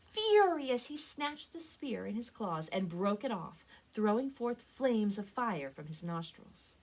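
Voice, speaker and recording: A woman reads a story aloud expressively, with deliberate, dramatic phrasing and strong emphasis, like a librarian at story time. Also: fairly high pitch, poor audio. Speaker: librarian reading stories for a library program.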